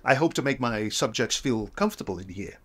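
A kindly older photographer from Denmark in a period audio drama. He is polite and gentle, easing his client into a portrait sitting. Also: medium pitch, animated, brisk.

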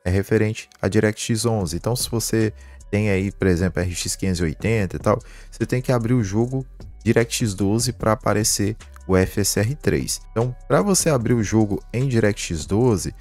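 A man's voice: fairly low pitch, normal speed, darkish tone, soft background music. A man with a Brazilian Portuguese accent talks through an informal gaming tutorial step by step, with colloquial verbal tags. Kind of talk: informal screen-share tutorial with live commentary.

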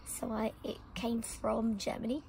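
A woman from the UK who has lost her voice, talking hoarsely and often in a whisper. She chats in a rambling, casual way with many ums. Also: on the high side, little pitch movement, measured pace, a little mellow, a little hiss or hum, poor audio. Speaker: motorbike vlogger. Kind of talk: casual product unboxing. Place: outdoor garden table.

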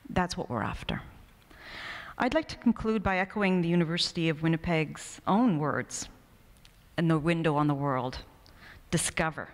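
Woman, middle-aged academic keynote speaker; lowish voice, earnest and measured, echoing hall. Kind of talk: prepared academic keynote speech. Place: indoor university hall at a lectern. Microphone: microphone on a stand, close in front. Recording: reverberant hall through microphone.

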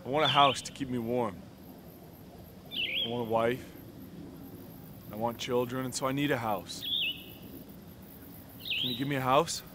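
A man's voice: medium pitch, fairly even intonation, a little slow, long pauses, noisy background, lightly acted. A man playing a restless grown man in a story who keeps asking for things. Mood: wanting. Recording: outdoors with birds and insects.

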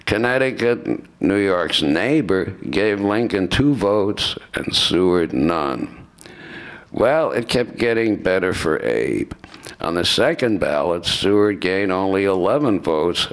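An elderly man reads narration from a script at a lectern microphone. His voice is very low, and he takes his time, deliberate and occasionally correcting himself. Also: a bit bright. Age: 70s. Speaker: narrator in a staged radio play by retired students. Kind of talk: scripted narration read aloud. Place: lecture room with seated audience. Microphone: microphone on stand at lectern.

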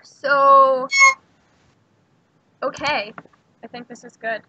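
A woman's voice: high-pitched, extremely slow, pushed and bright, dry recording. A young woman hosting a casual livestream, chatty and giggly as she narrates what she builds. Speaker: livestream host. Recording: dull lo-fi stream mic.

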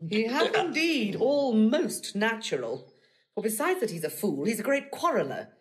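Animated, scolding chambermaid. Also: female voice, on the high side, moderate pace. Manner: lively, nagging. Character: scolding, sharp-witted chambermaid. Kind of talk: classical Shakespearean comedy acting.

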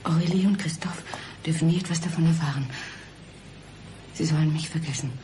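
An actress playing a frightened, resigned mother in a German radio crime drama, speaking in a subdued, often breathy way. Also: low-pitched, a little fast, mellow, a little hiss or hum, close to an everyday voice. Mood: despairing. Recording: studio radio drama with music.

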